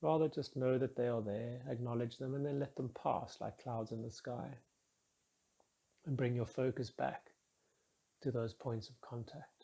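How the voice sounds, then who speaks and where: Mellow, husky man guiding a meditation. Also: mid-range voice. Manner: calm, soothing, measured instruction with soft phrase endings. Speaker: meditation guide. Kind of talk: guided meditation.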